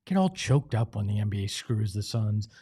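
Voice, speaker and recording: A man's voice: on the low side, very animated, normal speed, dark tone, breathy edge. A man with an American accent hosting a sports show, speaking in an emphatic, conversational way. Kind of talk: opinionated sports talk and host-read ads.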